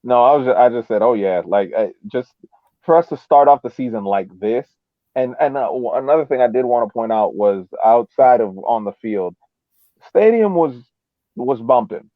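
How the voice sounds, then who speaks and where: A man's voice: medium pitch, expressive, normal speed, dry recording. Phone-like male voice, casual fan chat. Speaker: soccer fan podcast co-host. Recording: thin, phone-like radio sound.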